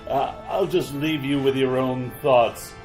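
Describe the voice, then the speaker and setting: A man's voice: medium pitch, steady tone, unhurried, music in the background, natural voice, low-quality recording. A man playing a macabre, wisecracking host of an old-time radio horror show, sly and teasing. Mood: sly, gleeful.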